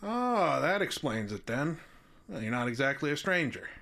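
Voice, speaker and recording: A man's voice: fairly high pitch, big pitch swings, moderate pace, gravelly, bright, forceful tone, full character voice. An actor playing a wry, neighborly small-town handyman with a folksy, easygoing manner in an audio drama. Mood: friendly, folksy. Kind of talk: naturalistic radio drama acting.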